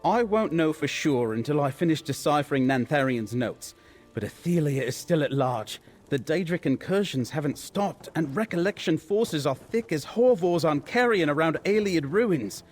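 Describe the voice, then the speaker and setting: An actor playing an earnest, scholarly investigator in a fantasy video game, talking in a thoughtful, deliberate, explanatory way. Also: male voice, a little high, lively intonation, a little mellow. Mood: earnest, pondering.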